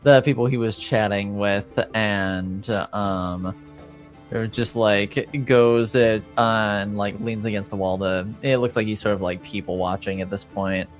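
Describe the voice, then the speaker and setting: A man with a fairly low voice runs a tabletop roleplaying game. He improvises his narration as game master, pausing and searching for words, while music plays underneath. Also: steady tone.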